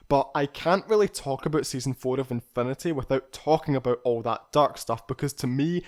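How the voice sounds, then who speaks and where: A man's voice: medium pitch, darkish tone. A man narrating a scripted personal retrospective as a video creator, speaking in a candid, reflective and articulate way.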